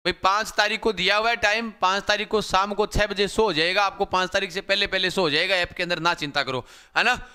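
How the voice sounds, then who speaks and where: A young male coaching teacher with a high, bright voice. He is slightly hoarse but stays smooth and clear. He addresses his viewers over and over in a repetitive, live-class manner. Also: steady tone, fairly quick. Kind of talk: live-stream class talk.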